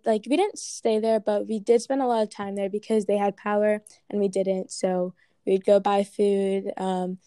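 A woman's voice: mid-range voice, dark tone, no echo, studio quality. A woman from Ghana with an American accent, answering interview questions casually with many "like" and "um" fillers.

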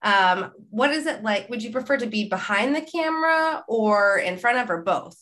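A young woman hosts a casual podcast chat. Her voice is bright and forward, and she talks loosely, with plenty of "like" and small fillers. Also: a little high, expressive, moderate pace. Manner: chatty, with "like" and laughs. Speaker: podcast host.

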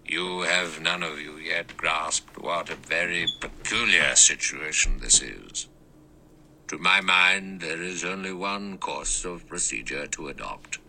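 A man voicing an elderly male judge with a small, clear, thin and sour voice, speaking slowly and measured. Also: deep voice, sharp tone, slight background noise, heavily acted. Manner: slow, measured, dry.

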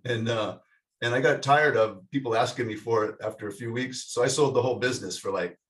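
A man on a video call, telling a childhood story in a relaxed, reflective way. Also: medium pitch, fast, distant mic, poor audio. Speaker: guest. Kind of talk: casual conversation.

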